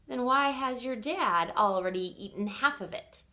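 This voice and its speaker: A friendly, curious storybook boy from next door, played by a woman reading aloud. He sounds plain-spoken and wondering, in a high voice with lively intonation. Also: measured pace. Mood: puzzled.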